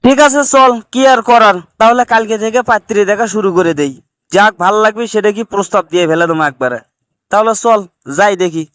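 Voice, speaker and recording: A worried cartoon migrant worker, played by a man who talks quickly in a high voice. His northern Bangladeshi dialect is plaintive and despondent, and the sound is close and dry. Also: a bit bright, clean tone, no room sound. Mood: hesitant. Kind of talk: comic cartoon dubbing in colloquial dialect.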